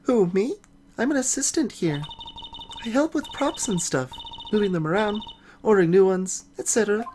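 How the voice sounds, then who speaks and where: A woman's voice: mid-range voice, lively intonation, moderate pace, darkish tone, a little hiss or hum, close to an everyday voice. A woman voicing a subdued, earnest studio assistant in a video game. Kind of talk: dubbed video game dialogue.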